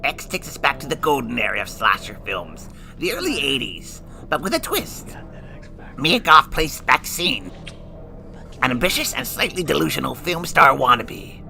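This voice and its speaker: A man's voice: mid-range voice, big pitch swings, normal speed, gravelly, pushed and bright, exaggerated voice. An actor playing a snarky male horror host with a shriveled, burnt face, joking his way through a scripted review. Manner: jokey, theatrical, sarcastic. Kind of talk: scripted comedic review banter. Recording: music bed underneath.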